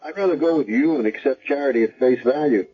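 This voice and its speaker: An actor playing a calm, probing hospital chaplain with a measured, matter-of-fact manner in radio drama style. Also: male voice, mid-range voice, slightly rough, natural voice. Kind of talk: 1970s radio drama acting. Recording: dull vintage radio recording.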